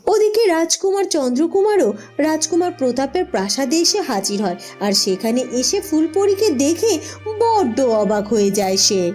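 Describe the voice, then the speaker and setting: A woman's voice: sits high, big pitch swings, normal speed, a little mellow, faint music behind. A woman giving scripted narration for a fairy-tale cartoon in a storybook style.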